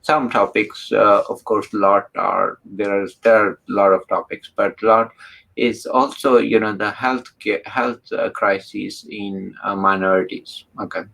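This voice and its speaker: A man hosting an interview, talking in a halting way with many restarts and fillers. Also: fairly low pitch, steady tone, vocal fry, fairly bright tone, rough recording. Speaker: interview host. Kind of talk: casual interview talk.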